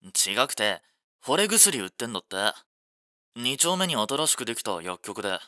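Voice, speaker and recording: A man's voice: medium pitch, sing-song, normal speed, sharp tone, dry recording, studio quality. A man playing a breezy, teasing young best friend in an audio drama, chatting casually. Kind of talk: Japanese audio drama acting.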